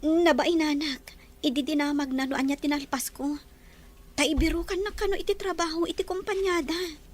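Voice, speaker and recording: Shy young working woman for radio drama, played by an actress: very high voice, humble, hesitant, deferential. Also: fairly even intonation, moderate pace, close to an everyday voice. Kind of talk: Ilocano radio drama acting.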